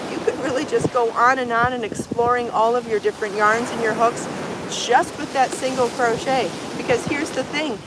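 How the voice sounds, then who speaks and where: A middle-aged woman hosting a casual livestream, rambling enthusiastically and repeating herself, with a slightly hoarse voice. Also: fairly high pitch, noisy background. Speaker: show host and crochet book author. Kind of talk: casual livestream chat to viewers. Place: outdoors on a sandy beach by the sea. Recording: outdoor recording with loud ocean surf. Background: waves and surf.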